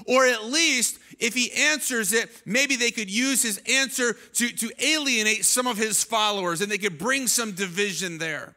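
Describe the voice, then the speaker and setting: Bright, high-voiced animated man preaching. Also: moderate pace, few pauses. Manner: animated, gestures while preaching, many restarts. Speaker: pastor. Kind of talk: sermon. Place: large church stage, indoors. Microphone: handheld microphone.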